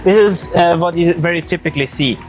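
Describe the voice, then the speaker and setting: A man coaching a handstand session, calm and encouraging, explaining each step plainly. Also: on the high side, brisk, soft background music.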